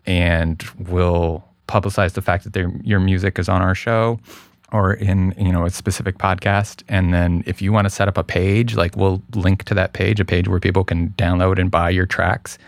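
A man's voice: low voice, flat delivery, moderate pace, dry recording. A man as an interview guest, explaining his production work casually and thoughtfully, often repeating words as he goes.

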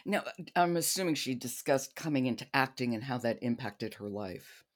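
A woman's voice: a bass voice, lively intonation, normal speed. A woman hosting a podcast, interviewing in a conversational style. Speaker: podcast host.

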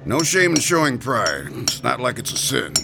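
A man's voice: medium pitch, very animated, moderate pace, gravelly, heavy background noise. An actor playing a jovial, heavyset male gravestone carver in a radio drama, folksy and darkly joking. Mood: jovial, teasing.

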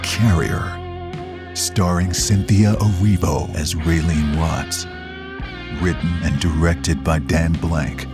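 Low-voiced man announcing credits. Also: steady tone, slow. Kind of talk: scripted credits narration. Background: plucked guitar music.

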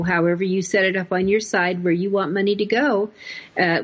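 A woman hosting a podcast conversationally, emphatic and repeating her points, on a dull recording. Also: fairly low pitch. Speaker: podcast host. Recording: dull, muffled lo-fi audio.

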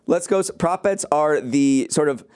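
A man's voice: fairly high pitch, normal speed, a little mellow, smooth. An American man co-hosting a podcast, bantering casually about the news. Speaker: podcast co-host.

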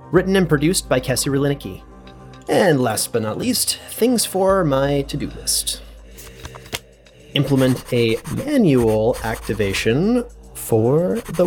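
Scholarly researcher, higher-pitched, over music. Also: male voice. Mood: formal. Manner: musing, formal, precise. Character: scholarly, methodical inventor-researcher, musing, curious. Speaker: researcher and narrator. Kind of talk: in-character audio log.

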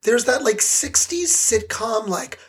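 A chatty man co-hosting a theater podcast, his voice husky and fading. He talks a lot and with enthusiasm, close to the microphone. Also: very high-pitched, animated, takes it slowly. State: losing his voice. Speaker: co-host. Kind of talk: casual chat.

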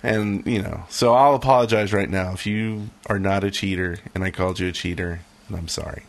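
A man's voice: low-pitched, fairly even intonation, a little fast. A man co-hosting a podcast in casual chat, with a wry, joking manner. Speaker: podcast co-host.

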